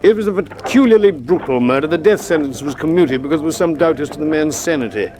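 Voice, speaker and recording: An actor playing an observant, gentlemanly doctor who narrates a radio mystery in a measured, literary, reflective way. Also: male voice, a little high, lively intonation, slight background noise, natural voice. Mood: grave, courteous. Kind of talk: radio drama narration and dialogue.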